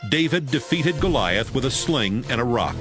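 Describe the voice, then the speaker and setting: A man's voice: mid-range voice, expressive, normal speed. A man narrating a dramatized audio Bible as scripted storytelling. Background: music.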